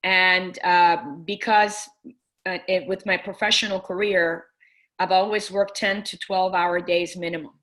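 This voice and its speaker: A woman's voice: fairly low pitch, little pitch movement, unhurried, fairly bright tone, rough recording. A middle-aged woman business coach talking earnestly in an interview, with many restarts. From Persian family. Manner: earnest, full of restarts and fillers. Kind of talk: casual interview talk.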